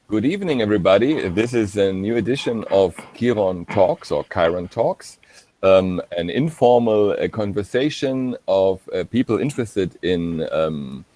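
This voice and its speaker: Deep-voiced hesitant male host. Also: a little mellow, no room sound. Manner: hesitant, many ums and uhs, laughs easily. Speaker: panel host. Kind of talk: informal online panel talk. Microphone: video call.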